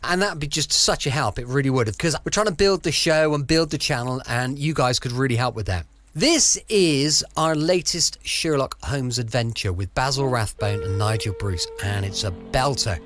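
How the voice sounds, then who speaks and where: A man's voice: mid-range voice, animated, moderate pace, fairly bright tone, soft background music. A man, introducing a late-night podcast in a chatty, informal, friendly way. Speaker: podcast host. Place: at home, close dry recording.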